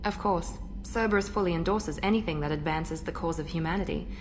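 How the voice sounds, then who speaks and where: An actress playing a composed female operative in a video game, with a crisp, controlled delivery. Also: on the low side, fairly quick, faint music behind, lightly acted. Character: cool, composed female operative, loyal and precise.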